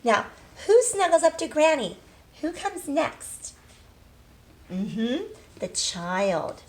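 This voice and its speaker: A woman's voice: high-pitched, sing-song. Woman teacher guiding young children. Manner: prompts with questions, pauses, affirms answers, drawn-out emphasis on key words. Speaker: early literacy teacher. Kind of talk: read-aloud lesson for young children.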